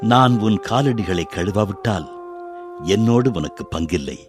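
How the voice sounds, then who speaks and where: A man playing a solemn, gentle, authoritative teacher in a biblical radio drama, speaking formally and with measured care. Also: lowish voice, lively intonation, soft background music. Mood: calm, firm.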